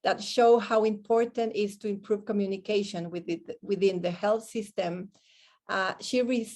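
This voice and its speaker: A woman's voice: medium pitch, steady tone, normal speed, a little mellow. A woman moderating an online event, reading out an introduction for a guest speaker.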